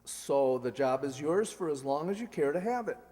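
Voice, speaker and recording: A man's voice: on the high side, animated, normal speed, warm tone. A man playing an earnest, slightly flustered department store heir in an old-time radio comedy. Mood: earnest, apologetic. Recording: reverberant hall stage recording.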